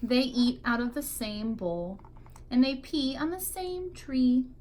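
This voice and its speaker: A woman's voice: sits high, slow pace, a little mellow, slight background noise. A woman teacher reading a picture book aloud expressively to young children, drawing out key words for emphasis.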